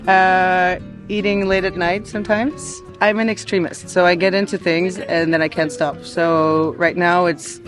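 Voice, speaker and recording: A woman's voice: on the low side, little pitch movement, a little slow, hardly any pauses, soft background music. A woman from France, a DJ and singer, talking easily in a casual interview and laughing often. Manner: easygoing, chatty, laughs often. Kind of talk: casual chat.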